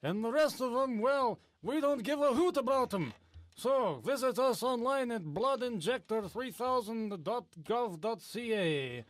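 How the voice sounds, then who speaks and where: Very high-voiced vampire salesman. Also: lively intonation, unhurried, no echo. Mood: breezy selling. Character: male vampire pitchman, cheerfully selling to fellow undead. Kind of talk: mock infomercial sales pitch.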